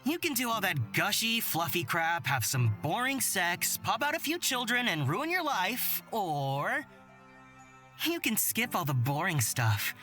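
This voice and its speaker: A woman's voice: medium pitch, very animated, measured pace, pushed and bright, soft background music. A woman voicing a teasing, worldly, cocky young man in a fantasy romance game, drawling and suggestive. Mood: mocking, smug.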